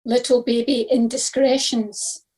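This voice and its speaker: Female reader, fairly high voice, scripted devotional reflection. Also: little pitch movement, a little slow, a little mellow.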